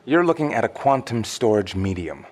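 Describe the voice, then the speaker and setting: An actor playing a cagey, unflappable middle-aged man with secret knowledge, speaking in a measured, dry audio drama delivery. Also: fairly low pitch, very animated, a little mellow. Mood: knowing, assured. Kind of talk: naturalistic audio drama acting.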